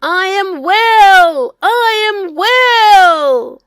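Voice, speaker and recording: A woman voicing a proud, quick-tempered man, a captain in a children's story, with an emphatic delivery. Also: very high voice, expressive, fairly bright tone, dry recording, studio quality, voice pushed up, full character voice. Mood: overjoyed.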